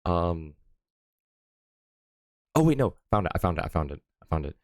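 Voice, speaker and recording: A man with a deep voice, chatting casually to his stream viewers, rambling with lots of fillers and little chuckles. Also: very animated, normal speed, darkish tone, dry recording, studio quality.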